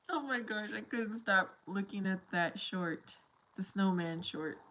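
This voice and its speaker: A woman's voice: mid-range voice, animated, slow, a bit bright. A young adult woman with a very young-sounding voice, chatting casually with viewers on a livestream, reading their comments and laughing often. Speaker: livestream host reading chat. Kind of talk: casual live chat with viewers.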